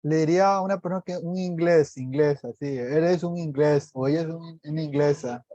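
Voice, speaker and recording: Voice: medium pitch, normal speed, warm tone, dry recording, dull audio. An adult student in an online English lesson, curious and eager, asking questions and repeating words to practise.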